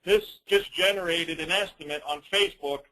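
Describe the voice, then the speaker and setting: A man presenting a business training call as an informal, rambling software demo, with teasing, self-deprecating asides. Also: fairly high pitch, normal speed, rough recording.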